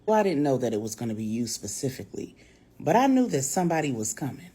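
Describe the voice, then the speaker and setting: A woman storyteller voicing a calm, devout, quietly steely woman who chides gently and speaks with assurance. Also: rumbling low, quick pace, slightly rough, voice pushed down, full character voice. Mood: calm, assured.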